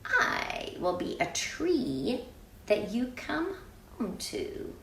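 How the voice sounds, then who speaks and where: A woman voicing a patient, loving mother rabbit from a storybook, giving gentle, steady reassurance. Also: fairly high pitch, drawn-out words, gravelly, echoey room, slight background noise, pitched above the performer's own voice, full character voice. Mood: reassuring.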